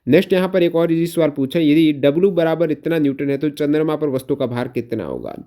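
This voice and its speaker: A man's voice: fairly high pitch, expressive, brisk, dark tone. A man working as an exam coaching teacher, explaining questions to students, repeating answers for emphasis and mixing in English technical terms.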